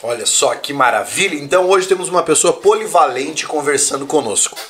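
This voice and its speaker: A man's voice: on the high side, expressive, a little fast, a bit bright, a little hiss or hum. A man hosting a podcast in a friendly way, reading out viewers' greetings and chatting with his guest. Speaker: podcast host. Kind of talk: casual chat.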